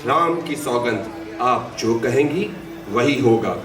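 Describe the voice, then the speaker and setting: A man's voice: mid-range voice, lively intonation, takes it slowly. A man playing an aged, devoted king with a grand, emotional, theatrical delivery in a mythological drama. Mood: solicitous. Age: older. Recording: echoey, distant playback with loud music.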